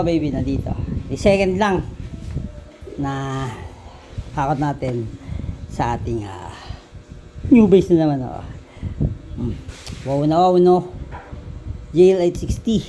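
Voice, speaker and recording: Casting: Filipino vlog host, medium register, casual Taglish product chat, halting delivery with questions tossed to viewers. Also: medium pitch, noisy background. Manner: Taglish code-switching. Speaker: vlog host showing vintage audio gear.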